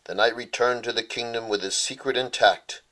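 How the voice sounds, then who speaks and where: A man's voice: medium pitch, fairly even intonation, moderate pace, bright, forceful tone, poor audio. A man narrating a rhyming children's bedtime story as its author.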